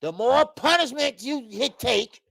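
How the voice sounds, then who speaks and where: Man, 50s, boxing stream host, very gravelly fry, dry room, loud and profane, rambling. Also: extremely high pitch, lively intonation, measured pace, no echo. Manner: loud, profane, rambling, greets viewers by name; frequent laughs and stretches of filler. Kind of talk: live-stream commentary chat.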